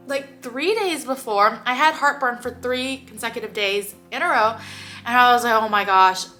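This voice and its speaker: A young woman talks casually to her camera, filling her sentences with "like" and breaking into quick laughs. Her voice sits a little high and sounds bright and forward, with a faint stuffiness from congestion. Soft music plays quietly behind her. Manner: chatty, many "like" fillers, quick laughs. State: slightly stuffy nose. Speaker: vlogger.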